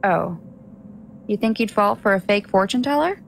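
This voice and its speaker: A woman's voice: mid-range voice, lively intonation, moderate pace, gravelly, soft background music. A woman playing a curious, casual young woman in an audio drama, with an informal, easygoing way of talking.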